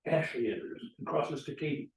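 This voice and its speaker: A man's voice: fairly high pitch, fairly quick. A man reading out stage directions at an informal script table read. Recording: echoey room, distant mic.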